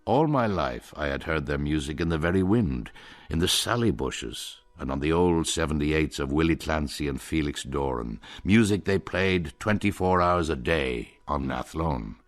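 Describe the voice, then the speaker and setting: A man's voice: a bass voice, expressive, normal speed. A man with an Irish accent narrating a radio drama in a wry, ornate storytelling manner.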